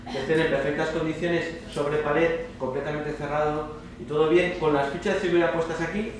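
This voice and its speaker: Male conference speaker, Spain accent, mid pitch, chatty and emphatic, punctuating points with tag questions; very roomy sound with faint noise. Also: distant mic. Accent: Spanish (Spain). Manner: colloquial, emphatic, often checks with audience using tag questions. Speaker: speaker at a student congress. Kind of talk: informal conference presentation with slides. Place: indoor lecture hall, speaker standing on stage.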